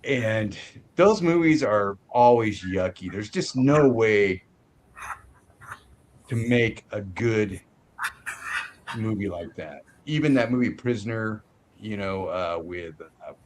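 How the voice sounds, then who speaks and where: A man giving a casual movie review as a show host, haltingly searching for his words. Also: fairly low pitch.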